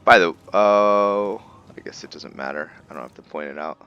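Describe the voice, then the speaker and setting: A man's voice: deep voice, normal speed, highs cut off, a little hiss or hum. A man giving casual let's play commentary as a gaming video host, laid-back and thinking aloud. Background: game music.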